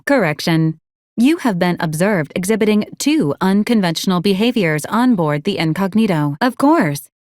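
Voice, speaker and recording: A woman playing a video game support robot, formal and literal, speaking in measured, politely officious protocol announcements. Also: medium pitch, dry recording, clean studio sound, lightly acted. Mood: officious, corrective. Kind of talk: video game character voice lines.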